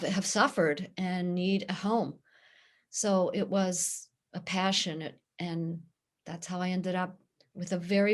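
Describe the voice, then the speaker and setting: A middle-aged woman with a Pittsburgh accent, answering interview questions in an earnest, steady way. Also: lowish voice. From Pittsburgh, USA. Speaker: guest, nonprofit director.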